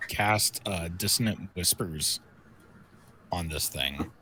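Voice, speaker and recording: A man's voice: deep voice, slow pace, pushed and bright, husky, low-quality recording. A man playing a tabletop roleplaying game, casually stating his moves and rolls.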